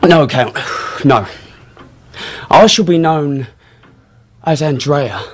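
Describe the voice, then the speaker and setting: Affected, lightish-voiced young upstart. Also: male voice, a little high, lively intonation, slow. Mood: delighted, preening. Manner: florid, theatrical, pretentious. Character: thin young male upstart, gushing and affected. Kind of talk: radio drama acting.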